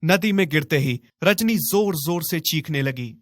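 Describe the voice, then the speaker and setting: A man's voice: fairly high pitch, lively intonation, measured pace, dry recording, clean studio sound. A man narrating a scripted moral story as a storyteller.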